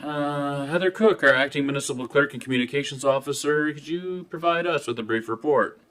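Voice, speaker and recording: A man chairing a council meeting, moving through motions in a brisk, friendly, procedural way. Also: on the high side, a bit bright. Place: council chamber.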